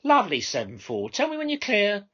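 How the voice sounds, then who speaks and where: A man's voice: high voice, wildly swooping pitch, fairly quick, sharp tone, breathy edge, muffled recording, voice pushed up, exaggerated voice. A man voicing a brash, bossy female minicab controller in a story, with insincere courtesy. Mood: brisk. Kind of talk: storybook character dialogue.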